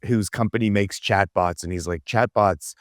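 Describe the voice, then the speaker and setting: A man's voice: fairly low pitch, moderate pace, no echo, clean studio sound. A man riffing casually as a comedy panellist, incredulous and conversational, acting out imagined exchanges.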